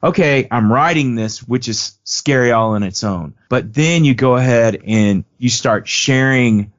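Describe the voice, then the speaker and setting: A man's voice: mid-range voice, unhurried. A man co-hosting a podcast, asking long, thoughtful, winding questions about songwriting. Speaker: podcast co-host. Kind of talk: interview questions. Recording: dull podcast audio.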